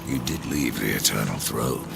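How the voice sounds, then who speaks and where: An actor playing the grim Horseman of Death in a video game, cold and gravelly, with a terse, dry, sardonic manner. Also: a bass voice, moderate pace, very noisy, exaggerated voice. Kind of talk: video game cutscene acting.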